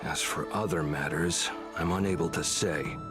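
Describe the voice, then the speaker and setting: A reserved male guard from a video game, played by an actor, with a deep voice. He is terse and deadpan in a stoic manner. Music is clear in the background. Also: moderate pace, natural voice. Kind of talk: scripted game voice acting.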